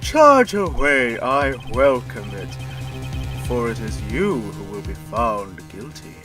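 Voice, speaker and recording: A man's voice: mid-range voice, very animated, slow, over music. A man acting a pompous, menacing corporate CEO villain in a video game fandub, with grandiose, condescending, theatrical speech. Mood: haughty, threatening. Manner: grandiose, theatrical, condescending, invented fancy words.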